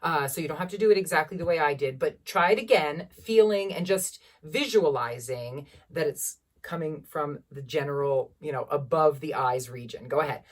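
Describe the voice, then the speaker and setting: A young woman with a generally low voice, teaching a beginner singing lesson from a keyboard at home and encouraging students as she explains. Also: normal speed, few pauses, far from the mic. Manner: encouraging, demonstrates vocal sounds between explanations. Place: small room at home, seated at a keyboard.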